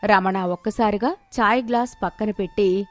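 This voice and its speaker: A woman's voice: mid-range voice, normal speed, very noisy. A woman reading a scripted moral story as its narrator.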